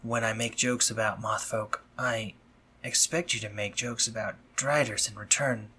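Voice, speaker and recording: A man playing an anxious, awkwardly tender spider-monster medic in a scripted romantic audio roleplay, speaking formally and haltingly with a breathy, slightly husky voice. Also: lowish voice, steady tone, bright, forceful tone, a little hiss or hum. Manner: formal, stammering, halting when flustered.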